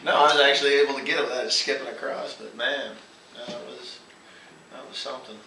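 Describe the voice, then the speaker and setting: A man's voice: medium pitch, fairly even intonation, quick pace, creaky. A man casually telling anecdotes, acting out bits of quoted dialogue as he goes. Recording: roomy, distant mic, faint noise.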